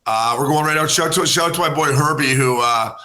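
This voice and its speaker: A man's voice: fairly high pitch, brisk, sharp tone. A man co-hosting a podcast, a former hockey player, rambling and joking in loose banter. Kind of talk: casual podcast chat.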